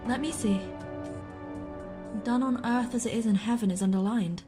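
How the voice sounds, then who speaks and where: A woman's voice: a little high, moderate pace, over music, natural voice. An actress playing a determined, anxious young woman in an audio horror drama, urgent and insistent. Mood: puzzled, focused. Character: determined, anxious young woman solving clues under threat.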